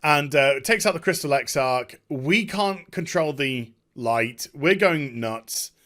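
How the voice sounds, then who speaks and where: A man hosting a gaming video, giving a casual, unscripted recap in a halting, digressive way and often correcting himself. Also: on the high side, expressive, sharp tone.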